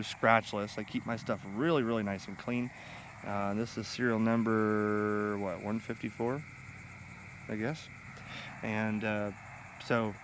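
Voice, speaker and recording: A middle-aged American man chatting informally and enthusiastically in a rambling, unscripted vlog about his hobby gear. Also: mid-range voice. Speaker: ham radio hobbyist and video host. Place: outdoors on grass beside a pond under shade trees. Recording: outdoor camera audio with clear ambient noise.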